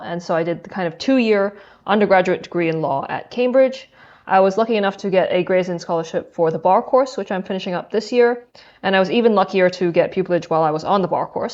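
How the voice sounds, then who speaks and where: A woman's voice: lowish voice, a little mellow, muffled recording. A young adult woman from Hong Kong, a podcast guest answering questions thoughtfully and with some hesitation. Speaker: podcast guest, law student. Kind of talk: casual interview chat.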